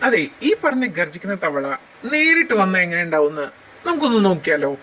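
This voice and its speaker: A man's voice: sits high, very animated, quick pace, bright, forceful tone, rough recording. A man voice acting a fan-dub story, talking in a cocky, taunting way. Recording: background music under the voices.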